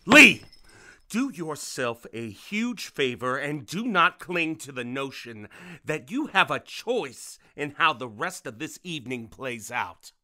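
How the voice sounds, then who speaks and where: Slow, menacing, vengeful American father. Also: mid-range voice, big pitch swings, bright, forceful tone, heavily acted. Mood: cold, commanding. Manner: deliberate, controlled menace. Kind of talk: dramatic audio drama acting.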